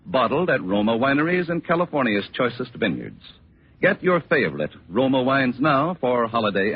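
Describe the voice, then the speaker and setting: An American man announcing as a 1940s radio sponsor spokesman with a polished, measured sales pitch. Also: slightly rough. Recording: tinny, narrow-band 1940s radio recording.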